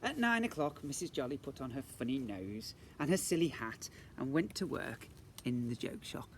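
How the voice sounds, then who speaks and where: A man's voice: medium pitch, very animated, normal speed, gravelly. A man, a teacher, reading a storybook aloud to young children outdoors, playfully adding questions and asides. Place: outdoors in a garden. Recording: faint outdoor background noise.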